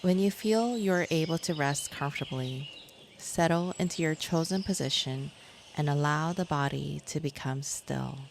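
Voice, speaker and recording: A woman guiding a yoga nidra relaxation in a measured, soothing manner, as a scripted meditation. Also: sits low. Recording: clear insect and nature noise behind.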